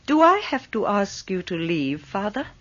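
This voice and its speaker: An actress playing a proud, stubborn mistress of a haunted house in a radio drama, with a firm, formal manner. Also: female voice, medium pitch, sing-song, moderate pace, a bit bright. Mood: cool, commanding. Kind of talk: 1980s radio drama acting. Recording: slightly dull 1980s radio recording.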